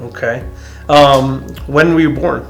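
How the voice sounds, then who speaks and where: A man's voice: medium pitch, fairly even intonation, slow pace, gravelly, faint music behind, low-quality recording. A man interviewing his grandparents, hesitant and full of fillers, asking short questions. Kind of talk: casual family interview.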